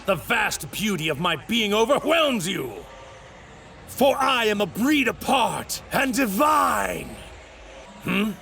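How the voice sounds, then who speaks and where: An arrogant god in a warrior's body for an anime dub. His delivery is pompous and theatrical, swinging widely in pitch as he taunts. The voice is forceful and bright, with a gravelly rasp underneath. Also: very animated, measured pace, few pauses, full character voice. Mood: grandiose, contemptuous. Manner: pompous, grandiose, taunting, savoring words. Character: arrogant divine villain in a stolen warrior body. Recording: ripped voice track with clear background noise.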